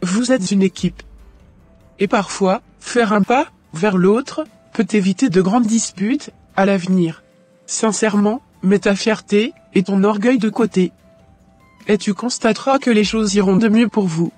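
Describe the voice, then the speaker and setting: A woman playing a wise, conciliatory mother-in-law in a scripted cartoon drama, giving advice in a measured way. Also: medium pitch, darkish tone, husky, no echo, faint music behind. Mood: calm, advising. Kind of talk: scripted animated drama dialogue.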